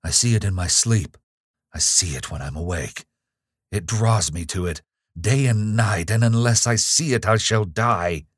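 A man's voice: fairly low pitch, a little slow, slightly rough, no room sound, studio quality. A man playing a tormented young nobleman. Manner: tormented, strained. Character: haunted young nobleman, obsessed and anguished.

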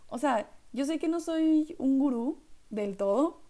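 A woman's voice: high voice, animated, a little slow, warm tone. A young woman with a northern Mexican accent hosting her own podcast, talking in a chatty, rambling way with lots of fillers. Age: young adult. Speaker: podcast host. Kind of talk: casual solo podcast monologue.

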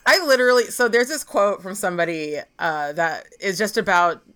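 A woman's voice: mid-range voice, normal speed, fairly bright tone, clear, resonant tone. A woman co-hosting a podcast in casual chat, enthusiastic and laughing often. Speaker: podcast co-host.

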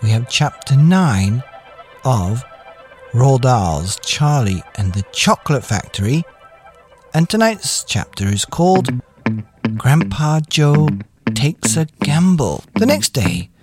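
A man reading a children's story as an expressive narrator. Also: on the high side. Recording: music behind the voice.

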